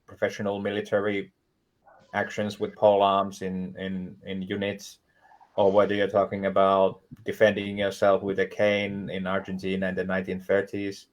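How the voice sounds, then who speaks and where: A man speaking as a guest author in a casual discussion, measured and explanatory with many fillers. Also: deep voice, completely flat delivery.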